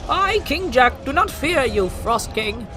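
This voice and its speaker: A man's voice: very high voice, sing-song, measured pace, over music, full character voice. A man playing a brave, boastful jack-in-the-box toy king, proclaiming heroically in a fantasy audio drama. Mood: defiant.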